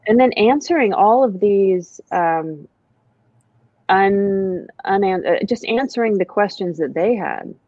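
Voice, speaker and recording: A woman's voice: mid-range voice, animated, slow, darkish tone, no echo, highs cut off. An American woman, a writer, talking reflectively as a guest in a casual conversation, with many fillers and restarts. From United States.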